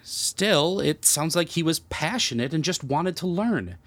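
Casting: a young, patient, slightly baffled priest in audio drama, expressive pitch, earnest and conciliatory. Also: male voice, measured pace, heavily acted. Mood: apologetic, gentle. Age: young adult. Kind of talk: naturalistic audio drama dialogue.

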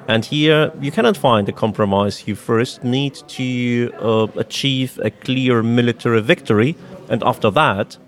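A man from Russia with a Russian accent, a native Russian speaker, explaining politics in a halting, deliberate and emphatic way as an interview guest. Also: mid-range voice, soft background music.

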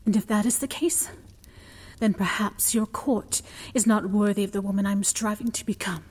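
A woman's voice: mid-range voice, fairly even intonation, moderate pace, husky, big-room sound, close to an everyday voice. An actress playing a principled young noblewoman who speaks in a firm, formal, indignant manner in an audio drama. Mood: defiant.

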